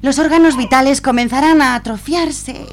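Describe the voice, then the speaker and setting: A woman's voice: high voice, normal speed, a little hiss or hum. A woman playing a melodramatic, spiritual hippie in a comic radio serial. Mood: ominous. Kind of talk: comedic radio serial acting.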